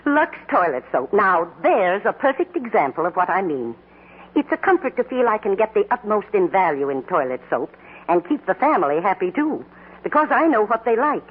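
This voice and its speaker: A woman playing a thrifty, contented housewife with a husky voice in a 1940s radio advertisement. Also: mid-range voice, sing-song, normal speed. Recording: tinny 1940s radio broadcast.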